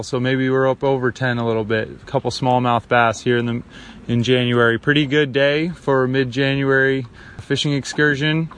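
Man, American accent, medium pitch, fishing guide, casual unscripted outdoor report. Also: fairly bright tone. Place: outdoors on a river boat.

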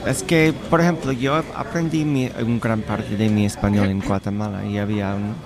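A man's voice: lowish voice, fairly even intonation, normal speed, a crowd in the background. A man guest who learned Spanish as an adult, friendly and plain in his answers. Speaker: guest, language exchange organizer. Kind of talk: conversational interview answers.

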